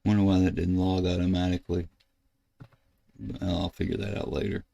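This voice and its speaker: An older man, giving a casual, halting screen tutorial as a ham radio video host. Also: low-pitched, flat delivery, gravelly, mellow, highs cut off. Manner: halting, rambling explanation. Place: indoors at a computer desk.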